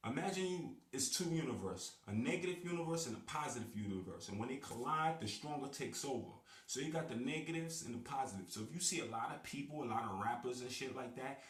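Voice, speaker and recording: A man's voice: medium pitch, reverberant, distant mic. A man giving a slangy, emphatic vlog monologue to his viewers, repeating his points. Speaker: video blogger. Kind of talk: casual street-talk monologue to camera.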